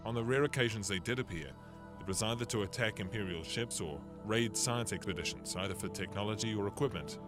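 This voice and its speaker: A man voicing a young male ship captain who narrates a game's story in an earnest, steady way, with game music behind. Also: on the low side, a little fast, hardly any pauses, lightly acted.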